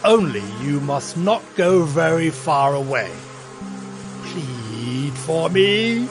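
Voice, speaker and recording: A man playing a proud, imperious Egyptian king in a dramatized scripture audio drama, measured and grudging. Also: on the high side, expressive, music in the background. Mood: grudging concession.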